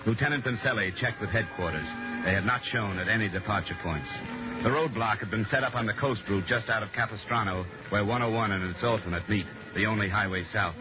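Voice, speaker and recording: An actor playing a wry, hard-boiled insurance investigator in a 1950s radio drama, brisk and clipped, both in scenes and narration. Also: male voice, on the low side, music in the background, lightly acted. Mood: narrating. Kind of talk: 1950s radio detective acting and first-person narration. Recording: noisy, tinny old radio broadcast recording.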